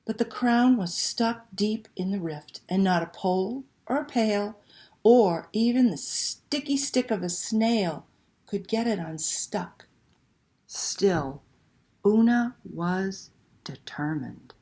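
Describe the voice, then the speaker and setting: A woman's voice: mid-range voice, lively intonation, takes it slowly, warm tone. A woman hosting a library story time, reading aloud and talking to young children with simple questions and prompts.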